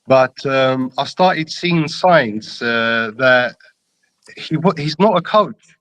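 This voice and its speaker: A man's voice: mid-range voice, expressive, slow pace, a bit bright, no echo. North London man calling in, dry close sound. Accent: North London, with a Greek Cypriot and slight Australian tinge. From London, England. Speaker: caller to a football fan show. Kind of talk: casual, opinionated football chat.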